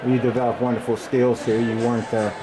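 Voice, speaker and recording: A man's voice: mid-range voice, little pitch movement, fast. A man interviewing a basketball player, asking questions with encouraging, somewhat hesitant phrasing. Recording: loud music underneath.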